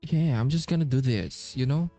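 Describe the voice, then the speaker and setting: A woman's voice: rumbling low, normal speed, dark tone, soft background music. A woman giving casual, slangy playthrough commentary on a video game, swearing freely. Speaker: gaming streamer.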